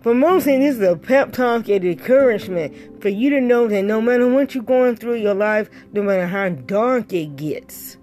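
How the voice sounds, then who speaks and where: A middle-aged woman, a life coach, gives a motivational pep talk in a raspy, breathy voice with a dry throat, pressing her points by repeating key words. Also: a little high, moderate pace, hardly any pauses, a little mellow, faint music behind. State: dry throat after a stroke.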